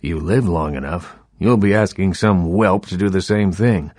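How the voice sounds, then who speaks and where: An actor playing an urbane, sardonic vampire baron in a video game, who talks in a quietly threatening way. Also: male voice, sits low, normal speed, no echo, clean studio sound. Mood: commanding. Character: old vampire baron, suave, menacing film buff.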